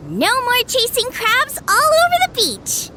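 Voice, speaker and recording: A woman playing a bubbly, energetic, friendly young cook in a video game, perky and eager. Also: extremely high pitch, a little slow, very noisy, full character voice. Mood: enthusiastic. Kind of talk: animated video game voice acting.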